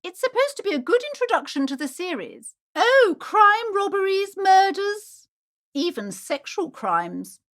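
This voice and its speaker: An actress playing an anxious, prim, superstitious middle-aged bookshop owner in a video game, fussy and theatrically earnest. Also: female voice, very high voice, very animated, a little slow, a bit bright, heavily acted. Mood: fussy, selling. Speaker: shopkeeper. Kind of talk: video game character dialogue.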